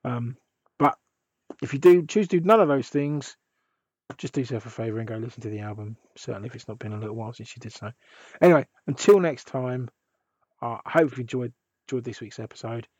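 A man hosting a music podcast, hoarse and husky from a sore throat, rambling with restarts. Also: medium pitch, lively intonation, fast talker, a little mellow, no room sound, muffled recording. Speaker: podcast host. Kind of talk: casual podcast chat.

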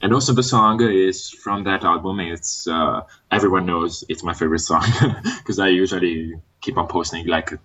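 A man whose first language is Italian, chatting casually as a guest fan on a podcast, pausing often with "uh" fillers. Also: deep voice, fairly even intonation, pushed and bright. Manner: hesitant, many "uh" fillers.

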